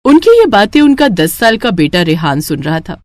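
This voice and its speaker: A woman's voice: medium pitch, sing-song, normal speed, dry recording, clean studio sound. A woman narrator reading a scripted moral story for children.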